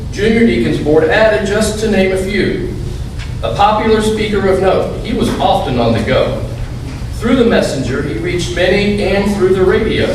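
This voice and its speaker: A man with a high voice recites rhyming verse for a church readers theater. He is heard from a distance in a hugely echoing hall. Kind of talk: reading rhyming poem aloud. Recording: echoey church hall from afar, noisy.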